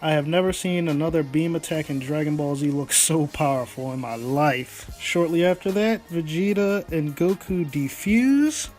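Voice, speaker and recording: A man with a fairly high voice delivers an excited, casual anime review as an online video host. Music plays behind him. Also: moderate pace, few pauses. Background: background music.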